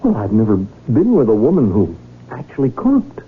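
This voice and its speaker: A man's voice: mid-range voice, huge pitch swings, normal speed, slightly rough, heavily acted. A man playing a meek, insecure rich man in a 1970s radio drama, hesitant and earnest, with a slight stammer in his delivery. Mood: timid, forlorn. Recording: tinny, noisy old radio broadcast recording.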